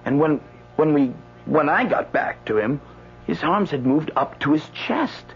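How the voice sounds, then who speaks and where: Highish-voiced, rattled young-sounding doctor. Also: male voice, expressive, a little slow, creaky, husky, full character voice. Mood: nervous, deferential. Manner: hesitant, stammering, repeating himself. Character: anxious, rattled doctor at a morgue. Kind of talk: radio drama acting. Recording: dull old radio broadcast, faint hum.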